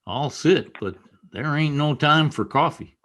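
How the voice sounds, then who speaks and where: An actor playing a loyal, fretful Old West deputy in a radio western, with a folksy rural drawl and deferential manner. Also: male voice, a little high, animated, measured pace, a bit bright. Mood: folksy, hurried.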